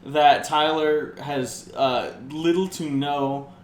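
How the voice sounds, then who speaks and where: A man's voice: a little high, fairly even intonation, takes it slowly, a little hiss or hum. Dry-joking man, echoey room. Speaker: podcast co-host. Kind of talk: casual chat. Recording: roomy room, shared mic.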